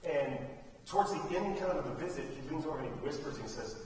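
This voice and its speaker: An American man giving an informal, folksy speech as a theater director honoring students, halting and often repeating phrases. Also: fairly high pitch, a little mellow. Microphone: distant microphone. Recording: very echoey hall, distant mic, faint noise.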